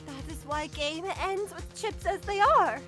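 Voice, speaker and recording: A woman playing a hesitant, secretive young foreign witness in a game fandub, speaking haltingly in broken grammar. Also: extremely high pitch, music in the background. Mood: reluctant.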